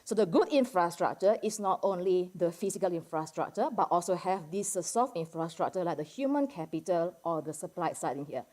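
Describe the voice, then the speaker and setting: A woman from Indonesia with an Indonesian accent gives an informal academic presentation to a general audience, halting often and peppering it with casual filler words. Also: on the low side, animated, a little mellow. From Medan, Indonesia. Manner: conversational and halting, peppered with "like" and "you know". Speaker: academic discussant at a conference.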